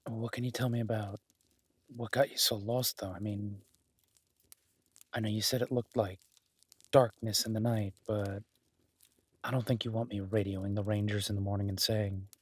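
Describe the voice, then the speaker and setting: Protective outdoorsman , played by a man for an intimate audio drama: husky, dry close sound, gently teasing and reassuring. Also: fairly low pitch, little pitch movement, no room sound. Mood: teasing, gentle. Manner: soft-spoken, unhurried, reassuring, wryly joking. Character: gruff-kind woodsman host, gently teasing, protective cabin dweller. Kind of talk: roleplay audio drama, intimate one-sided conversation.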